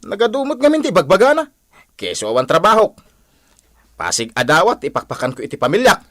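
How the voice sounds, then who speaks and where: An actor playing a proud, irritable jobless husband in a radio drama, defensive and grumbling. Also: male voice, high voice, sing-song, fairly quick, fairly bright tone, no room sound, clean studio sound. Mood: sulky, defensive.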